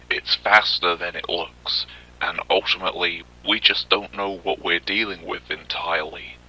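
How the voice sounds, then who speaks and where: A man playing a grim government war office briefer, delivering a formal, measured and ominous scripted briefing in a gritty voice. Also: low-pitched, pushed and bright, slightly rough, full character voice, low-quality recording. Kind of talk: scripted military briefing narration, analog horror.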